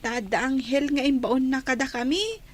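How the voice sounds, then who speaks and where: A woman's voice: high-pitched, lively intonation, normal speed. A woman with an Ilocano accent playing a cheerful, devoted young schoolgirl in a radio drama. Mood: cheerful, agreeable.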